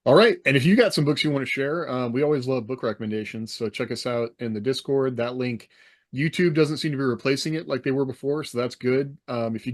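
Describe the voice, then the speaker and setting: A man hosting a podcast in a casual, conversational style, easygoing and informal. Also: medium pitch. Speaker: podcast host. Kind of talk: casual podcast chat and sign-off.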